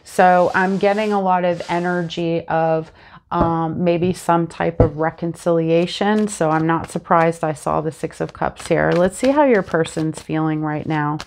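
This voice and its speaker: A woman's voice: fairly low pitch, unhurried, slight background noise. A woman in her thirties, a bit congested and sniffly, chatting casually through a tarot reading to camera on a lapel mic in a small room at home. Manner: chatty and animated, talks with her hands. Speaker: tarot reader.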